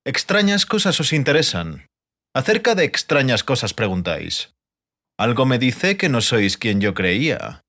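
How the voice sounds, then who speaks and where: Male narrator as haughty male bard, sarcastic, pompous, dry, expressive intonation, audiobook character. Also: mid-range voice, measured pace, exaggerated voice. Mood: dry, wary.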